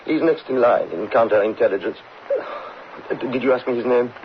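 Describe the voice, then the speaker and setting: An actor playing a distraught, earnest condemned French army officer in a radio drama, speaking urgently. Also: male voice, mid-range voice, fairly quick, natural voice. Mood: urgent, resigned. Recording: muffled old radio recording, faint noise.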